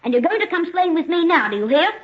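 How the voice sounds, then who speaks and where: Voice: extremely high pitch, sing-song, quick pace. A performer playing a bossy, petulant young boy in an old-time radio drama. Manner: petulant, demanding. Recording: dull old radio recording.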